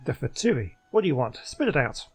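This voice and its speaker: Mid-pitched man, casual let's play host. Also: very animated, fairly quick, vocal fry, breathy edge, faint music behind. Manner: thinks aloud. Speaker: gaming video host. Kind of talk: casual let's play commentary, reading game choices aloud.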